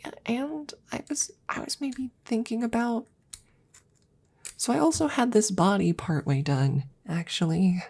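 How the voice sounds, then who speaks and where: A woman playing an anxious, devoted scientist in an intimate ASMR roleplay, rambling haltingly in a soft, breathy voice that often slips into whispers, close to the microphone. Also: on the high side, full character voice. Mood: sheepish, excited.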